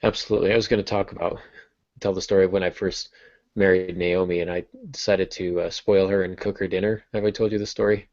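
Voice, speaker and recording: A man's voice: deep voice, flat delivery, fast, a little mellow. A man co-hosting a writing podcast, explaining things in an informal, conversational way. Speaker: podcast co-host. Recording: roomy, slightly dull podcast recording.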